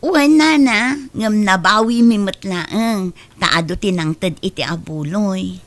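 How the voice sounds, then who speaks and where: A woman playing a sharp-tongued young woman. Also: mid-range voice, slow pace, lightly acted. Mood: plain. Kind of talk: Ilocano audio drama acting.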